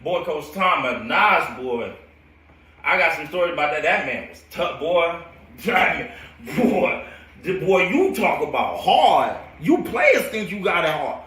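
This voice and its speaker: An American man who coaches football, telling stories casually and in an animated, slangy, rambling way on a live stream. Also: on the high side, slow. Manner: animated, slangy, laughs often. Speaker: football coach hosting a storytelling show. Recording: roomy echo, distant from the mic.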